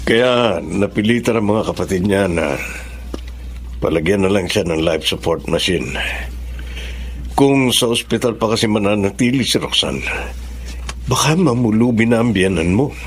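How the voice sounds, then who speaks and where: A man's voice: lowish voice, expressive, normal speed, pauses often, slight background noise. An actor playing a helpful, grave male friend in a Filipino radio drama.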